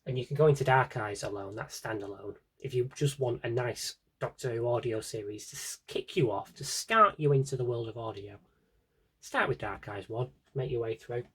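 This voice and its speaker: A man with a slightly husky voice chats casually as a fan showing off a collection, rambling with wry, dry asides. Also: mid-range voice, normal speed, fairly bright tone. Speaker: audio drama collector and fan. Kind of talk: casual rambling shelf-tour vlog chat.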